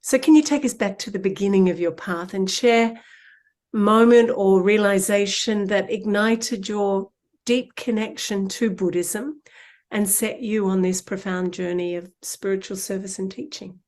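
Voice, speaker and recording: A woman hosting an unscripted conversational interview in an affirming, gently reflective way. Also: medium pitch, steady tone, measured pace. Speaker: interview host.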